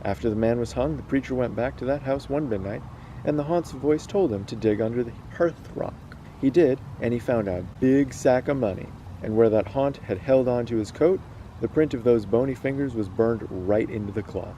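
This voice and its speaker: Man, mellow relaxed voice, read-aloud storyteller, casual host, faint background noise. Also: medium pitch, moderate pace. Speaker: host reading stories aloud.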